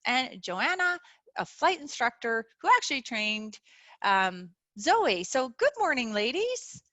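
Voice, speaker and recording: A middle-aged woman hosting a webinar over a video call, talking earnestly with many pauses and fillers. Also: a little high, very animated, sharp tone, no echo. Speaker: webinar host. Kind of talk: casual chat.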